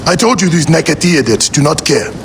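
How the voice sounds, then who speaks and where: An actor playing a frank, weary village protector in a video game cutscene, speaking emphatically. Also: male voice, on the high side, lively intonation, fast, gravelly, background noise.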